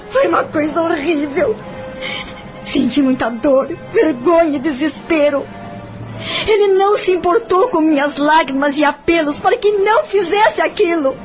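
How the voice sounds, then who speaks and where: A woman's voice: very high-pitched, lively intonation, measured pace, soft background music. A woman with a Brazilian accent acting a wounded young woman who confides her past in an audio novel. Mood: anguished. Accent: Brazilian Portuguese. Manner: sorrowful, confiding.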